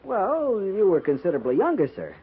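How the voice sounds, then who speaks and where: An actor playing an earnest, deferential young cavalry lieutenant with a hesitant, stammering manner in a 1950s radio western. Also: male voice, high voice, huge pitch swings, brisk. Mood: polite, reassuring. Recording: noisy, narrow 1950s radio recording.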